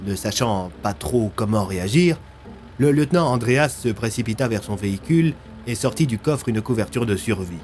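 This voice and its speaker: Low-pitched male story narrator. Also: animated, normal speed, darkish tone, a little hiss or hum. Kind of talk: scripted audio fiction narration.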